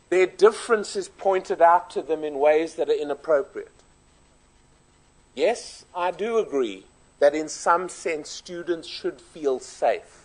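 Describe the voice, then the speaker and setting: High-voiced South African man giving a speech. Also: low-quality recording. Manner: measured, emphatic, lists points in order. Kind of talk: formal conference speech.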